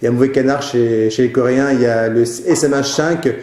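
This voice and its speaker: A man's voice: medium pitch, quick pace, a little mellow, roomy sound. A man presenting a question-and-answer show, giving casual product advice in an informal, hesitant way.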